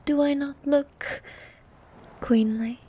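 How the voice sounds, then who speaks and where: A woman playing a bossy, haughty ancient sea queen monster in an ASMR roleplay, with a soft, partly whispered voice and regal, condescending manner. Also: sits high, fairly even intonation, dry recording. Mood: imperious, offended. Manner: regal, condescending, formal, unhurried.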